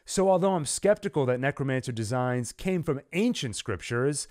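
Man narrating with lively, swinging pitch. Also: dry recording, studio quality. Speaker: channel host, narrator. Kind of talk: dramatized recap narration and commentary.

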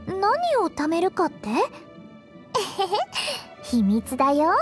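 Very high-pitched, sweet idol girl. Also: heavily acted. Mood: dreamy, earnest. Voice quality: girlish, sweet. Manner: earnest, polite, gently encouraging. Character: cheerful, sweet teenage girl idol healer. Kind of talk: anime game character voice lines. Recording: studio voice over game music.

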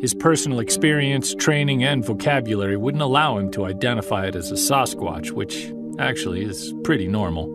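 A man playing a middle-aged Bigfoot investigator in an audio drama, reflective and measured. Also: mid-range voice, over loud music, lightly acted. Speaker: lead character, narrator. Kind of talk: audio drama acting, scripted first-person narration.

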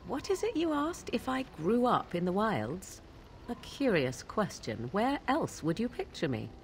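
A woman's voice: medium pitch, very animated, a little slow, slight background noise. A woman playing a proud, sardonic young witch in a video game, speaking in formal, archaic, disdainful phrases. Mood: haughty annoyance. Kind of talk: video game dialogue acting.

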